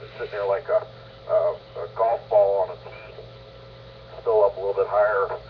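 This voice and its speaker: Low-voiced man on scratchy ham radio. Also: steady tone. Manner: halting, hesitant delivery. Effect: two-way radio. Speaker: amateur radio operator. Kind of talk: casual radio chat. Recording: scratchy, muffled ham radio with static hum.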